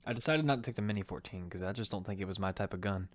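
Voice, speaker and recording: A man's voice: lowish voice, racing, no echo, studio quality, lightly acted. A man playing a determined young fighter who narrates his own survival story in an amateur audio drama. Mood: dramatic narration. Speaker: narrator and lead actor. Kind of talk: first-person narration of amateur audio fiction. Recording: clearly noisy amateur recording with clicks.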